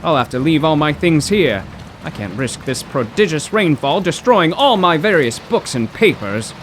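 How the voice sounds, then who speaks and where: An actor playing an excitable, bookish professor in a 1930s radio drama, theatrical and wordy with old-fashioned exclamations. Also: male voice, a little high, moderate pace. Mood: dismayed. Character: excitable, bookish 1930s male poetry professor, stranded motorist. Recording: rain and road noise.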